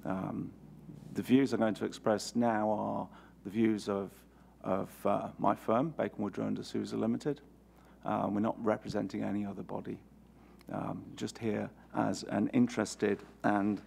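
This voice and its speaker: An older man giving formal testimony to a committee as an actuary, measured and hesitant with many restarts. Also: fairly low pitch, level tone, vocal fry, a little mellow, slight background noise. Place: parliamentary committee room.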